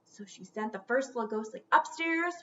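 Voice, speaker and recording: A woman reading a children's storybook aloud theatrically, stretching out words and dropping into hushed whispers for suspense. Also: on the high side, lively intonation, moderate pace, lo-fi sound.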